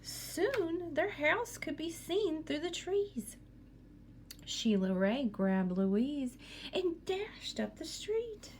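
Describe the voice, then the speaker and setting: A woman's voice: high-pitched, very animated, very slow, slight background noise. A woman teacher reading a picture book aloud to students, expressively, with asides and questions for young listeners.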